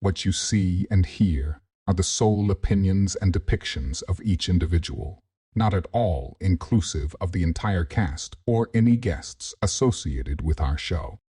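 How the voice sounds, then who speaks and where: Deep husky man reading a disclaimer. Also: expressive, unhurried, mellow, recorded from a distance. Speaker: show intro announcer. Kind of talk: scripted disclaimer read.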